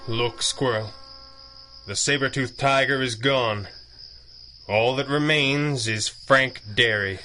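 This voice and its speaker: Masked crime-fighter for period radio serial: crisp, commanding, bright forceful tone, dryly teasing, faint background noise. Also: male voice, mid-range voice, takes it slowly, low-quality recording. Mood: grave. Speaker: voice actor in a radio drama. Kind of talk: 1930s-style adventure radio acting.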